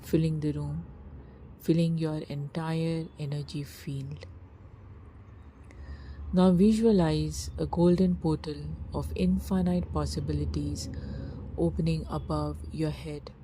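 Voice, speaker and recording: A woman narrating a scripted guided meditation in a soft, breathy, husky voice, with a slow, soothing, hushed delivery and long pauses. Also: deep voice, fairly even intonation, slight background noise, rough recording.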